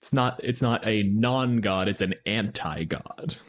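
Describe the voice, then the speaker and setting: A man's voice: on the low side, unhurried. Inquisitive man co-hosting a podcast. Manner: inquisitive, analytical questions. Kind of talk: casual podcast discussion.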